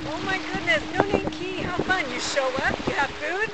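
A middle-aged woman chats on a beach in a bubbly, excited way. Her voice is very high. Loud wind and outdoor noise hit the camera mic. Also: normal speed. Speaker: vlog co-host. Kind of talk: casual outdoor chat. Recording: windy, noisy outdoor camera audio.

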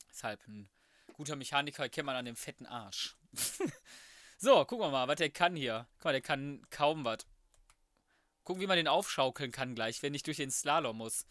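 A man's voice: on the high side, fairly bright tone, no echo. A German man giving casual let's play commentary, talking to himself in a slangy, crude, jokey way. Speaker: gaming YouTuber, host.

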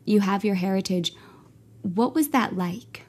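A woman in her forties hosting a music education podcast, enthusiastic and quick to cheer her guest on over a video call. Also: mid-range voice, a little mellow. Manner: enthusiastic, effusive, laughs easily. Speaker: podcast host. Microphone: earbuds on a video call.

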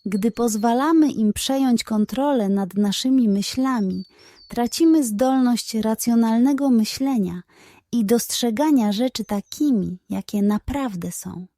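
A woman's voice: on the high side, measured pace, dark tone, dry recording. A woman storyteller reading a scripted children's bedtime story.

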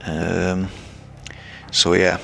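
A young man from Hungary with a Hungarian accent, making a hesitant, casual announcement to his channel's viewers, pausing often with fillers. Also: low voice, completely flat delivery, creaky, a bit bright, slight background noise. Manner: hesitant, many filler pauses. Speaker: channel creator.

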